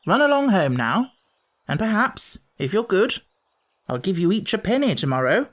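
Woman reader playing a languid, haughty young gentleman. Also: medium pitch, very animated, normal speed, pitched above the performer's own voice. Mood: condescending. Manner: languid, haughty, old-fashioned upper-class slang. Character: grown-up baby brother, languid condescending young gentleman. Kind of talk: children's storybook character reading.

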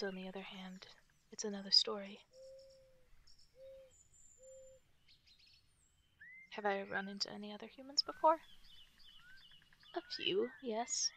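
A woman voicing a guarded, protective wolf fairy in a soft, halting, often whispered ASMR roleplay. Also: a little high, creaky. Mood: somber, wary. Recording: nature ambience and birdsong noise. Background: forest sounds, birdsong.

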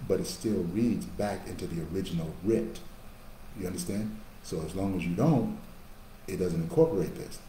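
A man in his fifties preaching a sermon into a webcam in a small office, building to emphatic bursts and dropping to quiet asides. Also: sits low. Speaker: pastor. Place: small office with a large painting behind. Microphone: webcam on a video call. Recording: echoey room with faint background hum.

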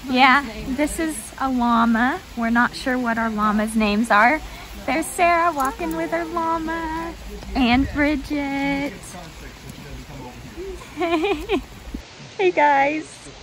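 A woman's voice: high-pitched, slow pace, pauses often, crowd noise, low-quality recording. A young woman vlogging on a rainy forest hike, giggly and chatty, filming on a phone held at arm's length. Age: young adult. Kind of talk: handheld vlog narration. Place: rainy forest trail outdoors. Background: rain and a stream.

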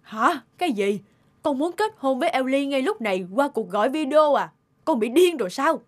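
A woman's voice: high-pitched, animated, normal speed. An actress voicing an anxious, blunt middle-aged mother who nags and scolds in a cartoon dub. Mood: alarmed. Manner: fretful, nagging, blunt. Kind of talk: cartoon dubbing.

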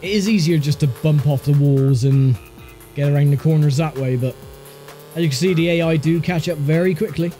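A man's voice: on the high side, fairly quick, dark tone. A man with a congested, stuffy voice giving dry, wry commentary on a racing game he is playing. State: congested from a sinus infection. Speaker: gaming YouTuber. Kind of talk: casual gameplay commentary. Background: game music.

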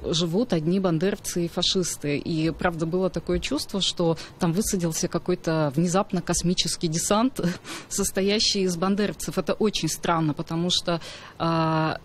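A woman in her thirties speaking as a guest in a radio studio, thoughtful and hesitant with drawn-out fillers. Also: fairly low pitch, steady tone, slight background noise. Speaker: journalist guest. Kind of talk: studio discussion. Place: dark studio. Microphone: studio desk mic.

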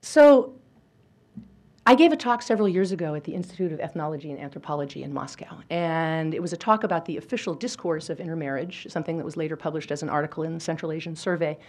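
Lower-voiced woman giving a lecture. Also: fast talker. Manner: thoughtful, with frequent fillers and restarts. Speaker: history professor giving a lecture. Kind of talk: academic lecture with quotations. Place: lecture hall.

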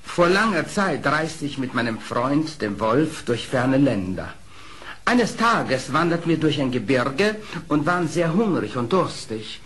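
Sly courtly fox storyteller, theatrical radio play, slow and highly animated delivery. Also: male voice. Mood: storytelling. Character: sly, smooth-talking male fox telling his adventures. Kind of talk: German radio play acting. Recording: old audio play with faint noise.

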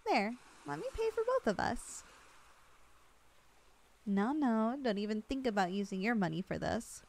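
A young woman performing an ASMR roleplay as a loving girlfriend, talking softly with an affectionate, teasing manner. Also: a little high, lively intonation, measured pace, creaky.

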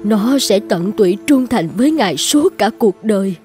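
A woman's voice: medium pitch, lively intonation, moderate pace, a little mellow, close to an everyday voice. A woman voicing a self-sacrificing poor mother. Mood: pleading. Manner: pleading, tender. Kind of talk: cartoon dubbing. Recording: music playing under the voice.

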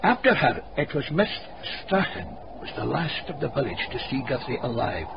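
An actor playing a venerable, learned Scottish cobbler in a radio drama, telling gossipy tales in a wordy, formal dialect. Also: male voice, mid-range voice, sing-song, moderate pace, vocal fry. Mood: dramatic storytelling. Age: older. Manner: wordy, dialect-rich, pedantic storytelling. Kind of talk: radio drama dialogue, storytelling. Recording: muffled radio broadcast, background hiss.

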